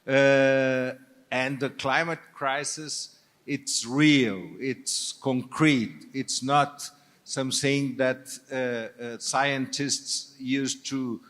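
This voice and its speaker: An older man with a Portuguese accent, a native Portuguese speaker, speaking as a guest on a stage panel in a halting, hesitant way with many restarts. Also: mid-range voice, fairly bright tone. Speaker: business leader guest.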